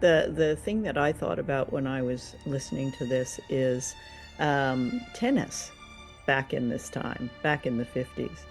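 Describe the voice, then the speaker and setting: A woman's voice: extremely low pitch, a little slow, faint music behind. A woman co-hosting a podcast, chatting casually and appreciatively as she reviews radio dramas.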